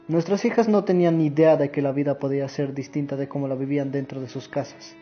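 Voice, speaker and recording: A man's voice: medium pitch, fairly even intonation, quick pace, close to an everyday voice. A man playing an earnest, sorrowful old war veteran and grandfather in a radio drama monologue. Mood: earnest, reflective. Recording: muffled audio with music underneath.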